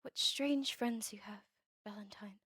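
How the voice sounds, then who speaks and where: A woman playing a guarded vampire heir in a scripted ASMR roleplay, measured, formal and cool. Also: mid-range voice, fairly bright tone, breathy edge, no room sound, studio quality. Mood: hesitant.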